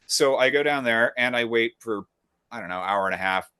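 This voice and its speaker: A man's voice: mid-range voice, a little fast, clean tone, no room sound. A young adult man co-hosting a podcast, telling a frustrated story in casual chat, acting out the people he quotes and swearing freely. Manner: animated storyteller who acts out the people he quotes; frequent swearing, wry and exasperated. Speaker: podcast co-host.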